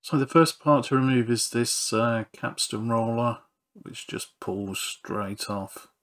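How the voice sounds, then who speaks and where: A man's voice: mid-range voice, slow pace, fairly bright tone. A man narrating a hands-on repair walkthrough in an understated, matter-of-fact way. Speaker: repair channel presenter.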